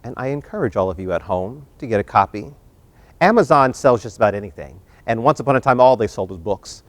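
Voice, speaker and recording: A man's voice: mid-range voice, lively intonation, brisk. A man hosting an arts discussion, addressing guests and viewers at home in an emphatic, theatrical way with repeated phrases. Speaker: host and moderator of an arts talk. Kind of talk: warm-up hosting talk to a home audience and panel.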